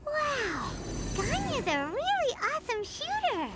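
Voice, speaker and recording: A woman voicing a tiny, excitable, curious childlike fairy companion in an anime-style video game, with a squeaky voice and an eager, inquisitive manner. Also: unhurried, over loud music, full character voice. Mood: excited.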